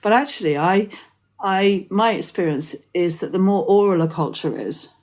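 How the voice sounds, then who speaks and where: A woman's voice: lowish voice, expressive, moderate pace, darkish tone. An Englishwoman hosting a poetry podcast, musing aloud in long, thoughtful sentences. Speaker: podcast host. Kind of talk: conversational interview.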